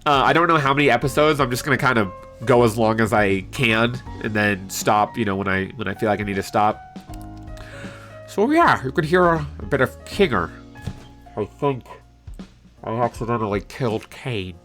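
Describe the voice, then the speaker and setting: A man chatting casually on a live stream in an animated, hesitant way, reading out and answering viewer comments. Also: mid-range voice, normal speed. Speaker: livestreamer and amateur voice actor. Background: piano background music.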